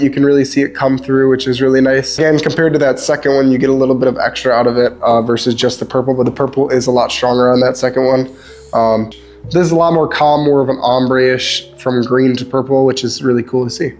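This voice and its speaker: A young man with a stuffed-up nose, casually reviewing fishing lures in a relaxed, chatty way with lots of ums. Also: medium pitch, brisk, a little hiss or hum. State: stuffed up, sniffly. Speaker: lure reviewer.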